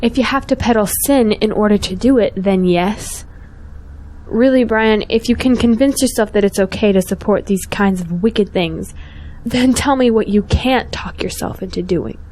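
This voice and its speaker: A woman's voice: mid-range voice, normal speed, darkish tone, lightly acted, low-quality recording. Woman acting as a devout, earnest young believer. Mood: reproachful, insistent. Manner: earnest, morally insistent. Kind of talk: audio drama dialogue.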